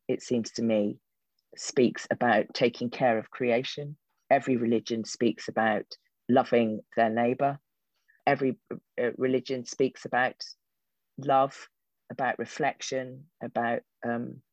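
A woman's voice: extremely low pitch, darkish tone, no echo. Wry, thoughtful woman in her 60s. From England. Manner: thoughtful, wry, frequent restarts. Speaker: theatre director and actor, interview guest. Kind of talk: reflective conversational answers. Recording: dull lo-fi dry recording.

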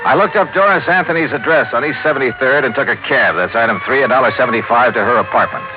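An American actor playing a brisk, dry hard-boiled investigator in a 1950s radio detective drama, clipped and questioning. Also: male voice, medium pitch, very noisy, close to an everyday voice. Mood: wry, tense. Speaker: lead detective and narrator. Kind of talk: 1950s radio detective acting with first-person narration. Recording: tinny old radio recording, music behind.

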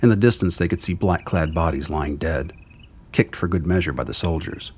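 A man's voice: low-pitched, moderate pace, breathy edge. A man reading scripted dramatic narration for a radio play.

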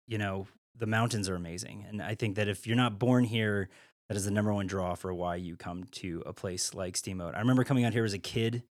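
A man in his forties from the Midwest, talking easily with a fairly low voice. He rambles a little in a friendly, relaxed way. The sound is dry and close, from a small studio. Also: fairly quick, no echo. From Midwestern United States. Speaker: guest, artist and art teacher. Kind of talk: casual interview chat. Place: small caboose art studio.

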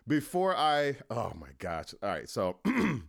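A man hosting a podcast interview with a Philadelphia accent, chatting excitedly, laughing often and slipping into goofy voices. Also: medium pitch, unhurried, creaky, no echo, clean studio sound. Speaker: podcast host. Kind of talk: casual interview chat.

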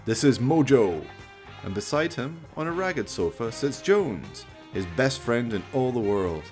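A man's voice: medium pitch, big pitch swings, unhurried. A man giving theatrical, wry scripted narration for a horror radio play. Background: music.

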